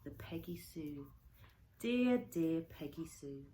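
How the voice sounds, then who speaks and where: Lady teacher doing an expressive storytime read, lowish voice, dramatic pauses, bit of noise behind her. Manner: dramatic, emphatic, with deliberate pauses and varied volume. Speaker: primary school teacher reading to a class.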